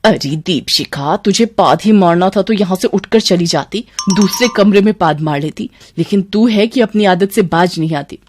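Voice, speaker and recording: A woman's voice: mid-range voice, normal speed, few pauses, darkish tone, lightly acted. A woman voicing a middle-aged mother, scolding yet caring, with a matronly, admonishing manner.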